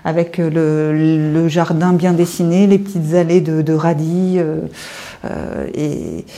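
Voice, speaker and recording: A woman in her fifties from France, an artist telling her story in an informal interview in a hesitant, halting, reflective way. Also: low-pitched, expressive, warm tone. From France, Paris suburbs. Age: 50s. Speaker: visual artist being interviewed. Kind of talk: informal guided-tour interview.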